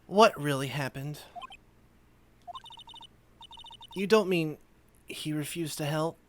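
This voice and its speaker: A man voicing a forlorn, soft-spoken young orphaned woman who is a spirit medium, halting and earnest, in an amateur game voice-over. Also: fairly high pitch, slight background noise, close to an everyday voice.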